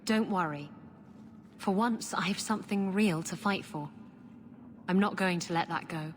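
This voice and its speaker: A woman's voice: lowish voice, unhurried, a little hiss or hum, natural voice. A woman playing a tough, guarded but devoted adventurer in a video game, with a wry, intense delivery. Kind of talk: dramatic video game cutscene acting. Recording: long reverb, game music behind.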